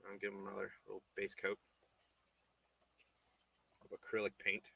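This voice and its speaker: A man making puppets on a casual livestream, muttering quietly to himself as he works at his bench. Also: on the low side, completely flat delivery, moderate pace, gravelly, poor audio. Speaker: puppet maker streaming his work. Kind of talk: casual livestream chat while crafting. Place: home work bench.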